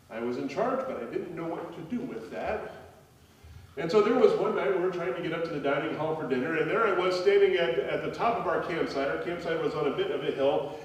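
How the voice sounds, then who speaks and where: Man in his forties, pastor, slightly high voice, preaching at a pulpit mic, distant sound, reverberant church hall. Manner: conversational storytelling with gestures, frequent fillers. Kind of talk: sermon. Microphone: pulpit microphone, filmed by a far camera.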